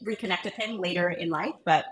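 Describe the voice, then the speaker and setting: A woman's voice: on the low side, brisk, noisy background. A woman from Ghana in her thirties, a physician turned founder, chatting as a guest with many ums and restarts. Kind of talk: casual interview conversation. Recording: roomy echo.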